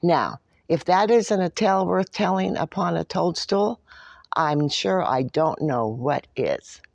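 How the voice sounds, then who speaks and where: A woman's voice: fairly low pitch, lively intonation, slow, creaky, dry recording, exaggerated voice. Lady reading as a smug little leprechaun, grumbly and dramatic storybook telling, dry close sound. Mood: proud, wry. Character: Mackenzie O'Shamrock, smug, grumbling, secretly soft-hearted leprechaun. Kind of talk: first-person picture-book read-aloud.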